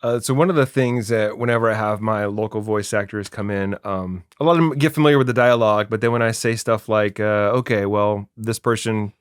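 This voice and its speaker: A man's voice: lowish voice, steady tone, a little fast, few pauses, clean tone, no echo, clean studio sound. A man hosting a casual interview, asking informal questions in a somewhat hesitant way. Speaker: interview host.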